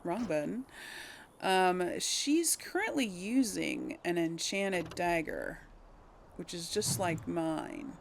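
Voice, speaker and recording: A woman's voice: medium pitch, gravelly, a little hiss or hum. A woman giving casual, hesitant let's play commentary, thinking aloud to viewers as she plays. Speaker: host of a gaming let's play.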